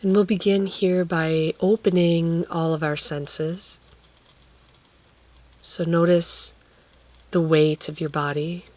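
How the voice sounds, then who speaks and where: A woman guiding a relaxation meditation, speaking gently and leaving long pauses between phrases. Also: low voice, dry recording. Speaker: guided meditation teacher.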